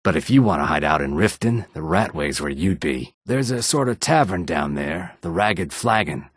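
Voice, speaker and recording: Very deep husky male lizard-folk adventurer, calculating, measured, dry close sound, game dialogue. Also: animated, dry recording. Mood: gruff, casual. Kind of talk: video game character dialogue.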